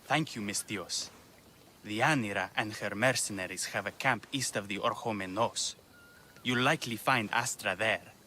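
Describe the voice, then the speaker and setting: A man's voice: lowish voice, normal speed, bright, forceful tone. An actor playing a grieving, vengeful man in a video game, solemn and bitter. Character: grieving, vengeful male musician who lost his brother. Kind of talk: video game dramatic acting. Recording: faint ambient noise.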